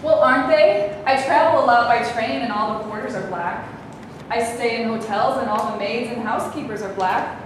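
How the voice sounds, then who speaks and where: A woman's voice: a little high, normal speed, natural voice. A woman playing a haughty, tactless bit-part actress in a staged play reading, snide and brash. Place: indoor room, live staged reading. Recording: distant mic, echoey noisy room.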